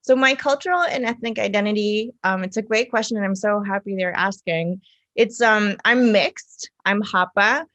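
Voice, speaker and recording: A woman from the United States answering interview questions conversationally, reflective and halting as she pieces her thoughts together in short phrases. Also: medium pitch, fairly bright tone, highs cut off. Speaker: interview guest, city councilwoman.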